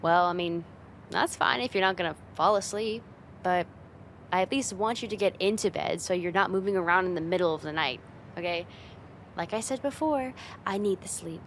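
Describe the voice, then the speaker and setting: A woman's voice: on the low side, normal speed, fairly bright tone, a little hiss or hum. A woman playing a friend's teasing older sister in a casual, conversational ASMR roleplay spoken straight to the listener. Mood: flustered, bossy.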